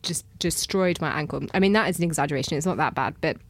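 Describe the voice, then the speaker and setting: A woman in her thirties hosts a relaxed podcast chat, laughing often as she talks. Her voice sits a little low for a woman. The sound is close and dry, with no room echo. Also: fast talker. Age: 30s. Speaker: podcast host. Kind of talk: casual chat.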